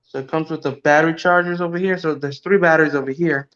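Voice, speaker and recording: A man's voice: a little high, fairly even intonation, quick pace, a bit bright. A man from Cuba hosting a casual livestream, rambling and joking, chuckling as he talks. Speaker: livestream host. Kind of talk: casual chat. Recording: dull lo-fi stream audio.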